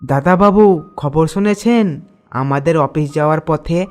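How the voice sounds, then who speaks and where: A man acting as an excitable village gossip in a horror cartoon, with a fairly high voice. Music plays behind him as he passes on bad news. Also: normal speed, clear, resonant tone, close to an everyday voice. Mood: urgent.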